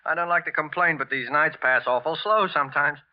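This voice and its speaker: Young night elevator boy male actor, obliging and folksy, raised pitch, 1940s radio play, dull old sound. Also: fairly quick, natural voice. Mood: chatty, obliging. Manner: folksy, eager to please.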